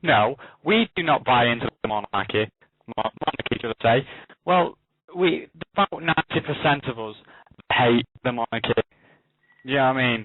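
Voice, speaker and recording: A man's voice: medium pitch, lively intonation, moderate pace, dry recording. British man arguing over a phone line. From United Kingdom. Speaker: caller. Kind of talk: argumentative phone-in chat. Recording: dry narrow phone line.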